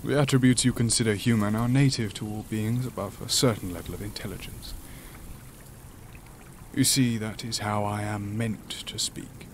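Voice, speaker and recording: An actor playing an imprisoned alien monster, gentle and philosophical, speaking in a formal, measured way for an audio drama. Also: male voice, lowish voice, fairly even intonation, slight background noise, lightly acted. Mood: gentle, grave. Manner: formal, measured, stilted speech.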